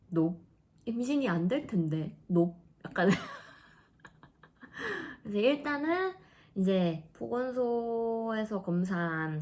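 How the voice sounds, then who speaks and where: A woman in her thirties chatting casually in a vlog, laughing often and dropping into soft asides. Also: on the low side. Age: 30s. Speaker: vlogger.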